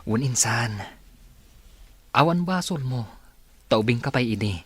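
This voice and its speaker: A man's voice: mid-range voice, expressive, unhurried, fairly bright tone, slightly rough. A young man with an Ilocano accent playing a cheerful, kind grandson in a radio drama. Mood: warm. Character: Lester, cheerful grandson back home.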